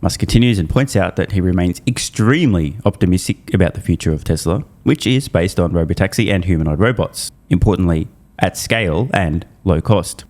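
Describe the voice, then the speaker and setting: A low-pitched male host runs through a prepared recap with punchy emphasis. He sounds opinionated and irreverent, dropping into slangy, casual asides between the scripted points. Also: darkish tone. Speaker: host of an investor news channel.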